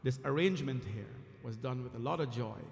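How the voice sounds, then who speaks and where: A man from Guyana, a temple priest, giving an earnest, emphatic devotional sermon with frequent pauses. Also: mid-range voice, gravelly, a little mellow. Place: temple hall. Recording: echoey temple hall.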